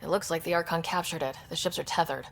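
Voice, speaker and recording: A woman's voice: low-pitched, steady tone, quick pace, gravelly, a little hiss or hum. A woman voicing a determined, level-headed young space explorer leader in a video game. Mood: focused.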